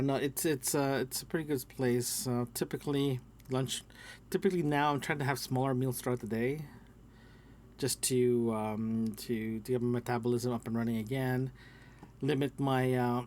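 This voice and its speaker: A middle-aged man talks by himself in a casual audio log. His medium-pitched voice has a gravelly, creaky edge. He speaks haltingly, repeating words as he thinks aloud into a small handheld recorder. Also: darkish tone, a little hiss or hum. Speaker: podcast host. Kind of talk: personal audio log, casual solo talk.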